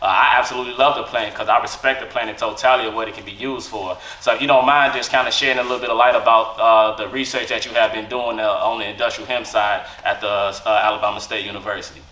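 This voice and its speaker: A man's voice: on the low side, steady tone, fast, few pauses, sharp tone. A man hosting a podcast in an earnest, casual way, filling gaps with "you know" and "uh". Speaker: podcast host and farmer. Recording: echoey room, far from mic.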